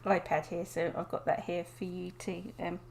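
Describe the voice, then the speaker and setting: A woman from England, chatting casually to viewers on a live stream from her desk, croaky and sniffly with a cold, often laughing. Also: fairly low pitch, little pitch movement, normal speed, darkish tone. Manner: chatty, giggly, greets viewers by name. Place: home desk indoors.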